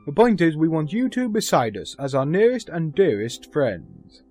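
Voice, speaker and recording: Man acting animated, devoted easygoing fiancé. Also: fairly high pitch, normal speed, soft background music. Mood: cheerful. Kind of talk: audio drama acting.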